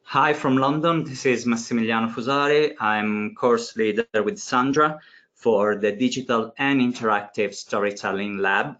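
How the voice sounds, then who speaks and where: A man's voice: medium pitch, a bit bright. A man in his forties, a course leader, introducing himself informally and stopping and restarting often. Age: 40s. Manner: halting, frequent restarts and fillers. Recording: dull lo-fi audio.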